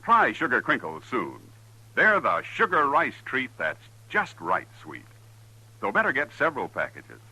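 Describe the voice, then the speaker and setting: A man's voice: mid-range voice, huge pitch swings, a little slow, background noise. An American man announcing in a polished, salesmanlike 1950s radio style. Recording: vintage 1950s radio broadcast with music. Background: music.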